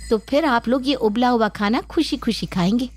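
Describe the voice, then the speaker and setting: A woman's voice: on the high side, moderate pace, no room sound, voice pushed up, natural voice. A woman voicing a young newlywed daughter-in-law in a children's story, airy, self-assured and condescending. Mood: grudging. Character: young newlywed daughter-in-law, breezy, smug health-food know-it-all. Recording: background music under the voice.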